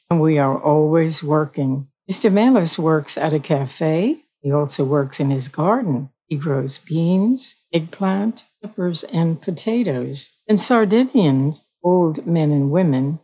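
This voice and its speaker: Older woman, solo show host, low mellow voice, chatty and folksy. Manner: chatty, folksy, repeats points. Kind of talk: solo podcast talk.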